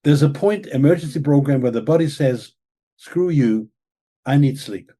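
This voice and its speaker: A man's voice: mid-range voice, animated, normal speed, dark tone. Earnest man lecturing. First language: German. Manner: earnest, lecturing, with frequent "okay?" and "right?" check-ins. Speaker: author and guest expert. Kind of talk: explaining in an interview.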